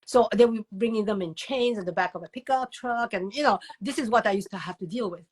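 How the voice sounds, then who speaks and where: An older woman speaks as an interview guest at a middle pitch. She tells stories in an animated way, wandering into asides and repeating words for emphasis. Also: brisk, breathy edge. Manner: animated storyteller, digressive, emphatic repetition. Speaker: guest author and educator. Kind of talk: conversational interview answers.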